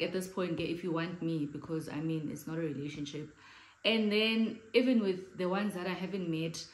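A woman's voice: on the low side, roomy sound, poor audio. A South African woman in her late thirties with a big voice, chatting casually to camera in her living room and gesturing as she talks. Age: late 30s. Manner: chatty, animated hand gestures, mixes in Xhosa phrases. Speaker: vlogger. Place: living room, indoors, in front of a sofa.

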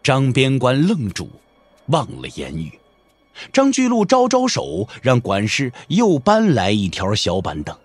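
A man narrates a wuxia audio drama over background music. His voice is husky and swings widely in pitch. The telling is measured and expressive. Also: dry recording. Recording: music under the voice.

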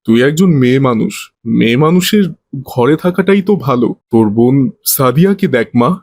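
A man voicing a gentle, doting older father in a cartoon story, soft-hearted and gently admonishing. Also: mid-range voice, animated, fairly quick, a little hiss or hum, close to an everyday voice. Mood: worried admonishing.

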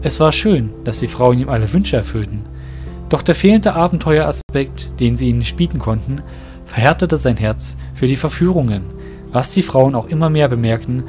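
A man narrating a fan-made audio drama in a calm, even storytelling manner. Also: medium pitch, normal speed. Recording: soft background music.

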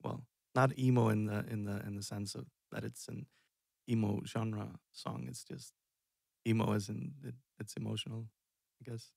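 A man's voice: on the low side, little pitch movement, moderate pace, gravelly, darkish tone, dry recording, clean studio sound. A man from Iceland in his thirties, chatting casually and rambling on a music live stream, self-deprecating and chuckling often. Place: dark room indoors. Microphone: headset.

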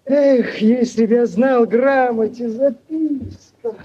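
Dreamy village youth, slow, high-pitched, exclamatory, muffled vintage radio drama. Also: male voice, warm tone, slight background noise. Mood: amazed. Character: dreamy young Cossack village lad. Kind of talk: 1940s Soviet radio acting. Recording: dull, muffled 1940s radio recording.

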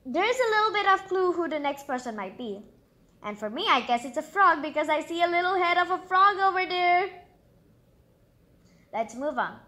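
A woman's voice: high-pitched, bright, forceful tone. A woman reading a children's storybook aloud expressively, breaking off for chatty, exclamatory asides of her own. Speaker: storybook reader and channel host.

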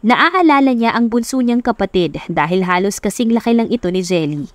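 A woman's voice: fairly high pitch, expressive, a little fast, dry recording. A woman reading a scripted love story as an audiobook narrator. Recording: music under the voice.

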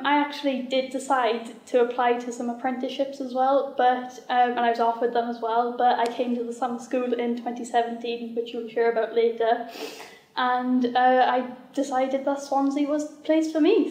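Young Welsh woman, flat chatty voice. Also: fairly high pitch, moderate pace. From Wales. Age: young adult. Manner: chatty, casual. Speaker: student. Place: university meeting room. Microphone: far camera. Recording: echoey meeting room.

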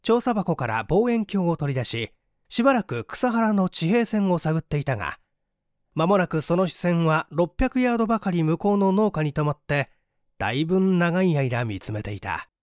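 A man narrating a mystery story as an audiobook, with an expressive, dramatic delivery. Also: on the high side, no echo, studio quality. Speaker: audiobook narrator, stage actor.

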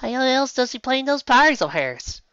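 A man voicing an excitable, bold young woman in a fan storybook reading. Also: extremely high pitch, normal speed, smooth, no echo, lo-fi sound, voice pushed up, full character voice. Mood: excited.

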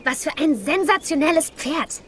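An actress voicing a persistent, confident and caring teenage girl in an animated film dub. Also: high-pitched, normal speed, heavy background noise. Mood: concerned, determined.

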